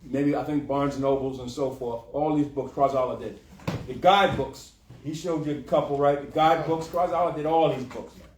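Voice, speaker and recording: A man's voice: medium pitch, steady tone, brisk, slight background noise. A man teaching scripture informally as a class leader, repeating his points and checking in with short tag questions. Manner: repetitive, punctuating with "okay" and "huh". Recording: echoey room, distant mic.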